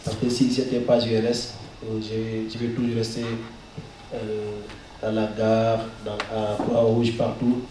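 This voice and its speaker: A man from Mauritania with a Mauritanian accent, giving personal testimony in a halting way, often repeating words and phrases. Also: on the low side, completely flat delivery. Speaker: guest speaker, refugee giving testimony. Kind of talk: personal testimony at a press conference. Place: indoor press conference room. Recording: roomy echo, distant mic, faint noise.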